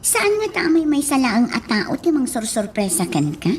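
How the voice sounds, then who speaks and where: Casting: chatty, teasing Ilocano woman, high voice, radio drama with faint birdsong ambience. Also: very animated, moderate pace, a little hiss or hum. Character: chatty woman hinting at a birthday surprise.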